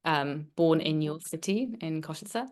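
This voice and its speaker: A woman, a relationship coach, talking as a podcast guest in a brisk, chatty way. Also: sits low, expressive, darkish tone. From England. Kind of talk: casual interview chat.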